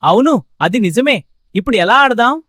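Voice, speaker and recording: A woman's voice: a little high, animated, a little fast, a bit bright. A woman playing a skeptical, bossy eight-year-old boy who scolds his best friend in a children's comedy cartoon. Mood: resigned.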